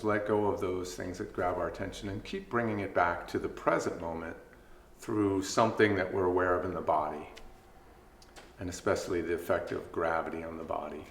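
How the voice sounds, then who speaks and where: A man leading a guided body scan meditation as a mindfulness instructor, speaking gently with unhurried pauses between phrases. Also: low voice, gravelly. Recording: echoey room, faint background noise.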